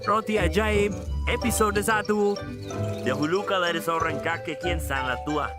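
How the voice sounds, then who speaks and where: A woman's voice: lowish voice, normal speed, close to an everyday voice. A woman voicing a playful talking bread loaf in a dubbed cartoon, often half-singing her lines. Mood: storytelling. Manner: sing-song, playful. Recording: studio dub with loud music.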